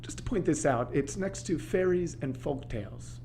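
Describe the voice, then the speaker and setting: A man's voice: fairly high pitch, expressive, normal speed, warm tone, slight background noise. An actor playing a teasing male librarian in an audio play, with a dry, arch manner. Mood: wry, teasing.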